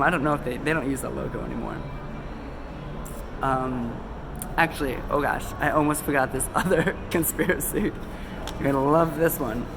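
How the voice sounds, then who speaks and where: A man's voice: on the high side, background noise. Sick-sounding man rambling casually. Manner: loose, chatty, many ums and self-corrections. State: a little sick, losing his voice. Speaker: conspiracy video host. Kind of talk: casual rambling talk to camera. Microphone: phone camera.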